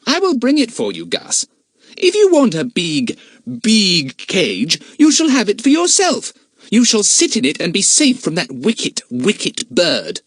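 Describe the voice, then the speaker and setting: A man's voice: high voice, huge pitch swings, moderate pace, a bit bright, no room sound, clean studio sound, voice pushed up, heavily acted. A male narrator voicing an emphatic, hot-tempered schoolboy. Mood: indignant. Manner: indignant, emphatic.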